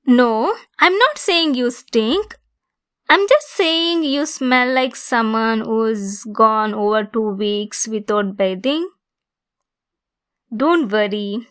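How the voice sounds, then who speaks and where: Female centaur for ASMR roleplay, played by a woman: possessive yet caring, lightly teasing, higher-pitched, very unhurried delivery. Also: animated, smooth. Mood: gently teasing. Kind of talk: ASMR roleplay, scripted monologue.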